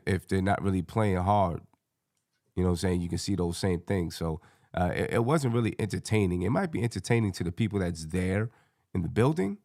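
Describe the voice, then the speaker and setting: A man with a hoarse, scratchy voice hosting a casual sports fan panel in slangy, colloquial talk. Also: low-pitched, darkish tone, no room sound, studio quality.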